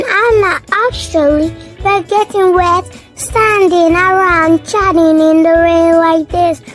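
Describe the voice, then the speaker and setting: Storybook chatterbox woman, acted by a narrator: high-pitched, polite, endlessly rambling, unhurried sing-song delivery. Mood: cheery chatter.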